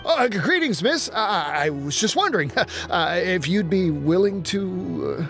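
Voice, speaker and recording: A woman's voice: fairly low pitch, animated, a little slow, vocal fry, a bit bright, faint music behind, full character voice. A woman voicing a shy, nervous, stammering customer in a video game. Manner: stammering, hesitant.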